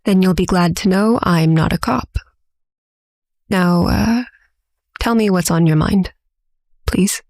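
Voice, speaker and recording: An actress playing a composed, dryly wry forensic interviewer in an audio drama, speaking in a formal, measured, deadpan way. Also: female voice, lowish voice, no room sound, studio quality. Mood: businesslike, composed. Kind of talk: audio drama scripted narration.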